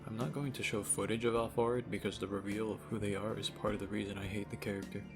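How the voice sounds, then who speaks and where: A man's voice: fairly low pitch, fairly even intonation, quick pace, vocal fry, over music. A man giving casual, opinionated video essay commentary as a game reviewer, speaking informally with sarcasm and profanity. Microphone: cheap microphone.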